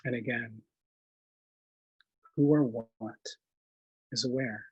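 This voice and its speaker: A man leading a guided meditation in a gentle, unhurried manner, leaving long pauses between short phrases. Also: medium pitch, fairly even intonation, breathy edge, narrow, tinny audio. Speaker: meditation instructor.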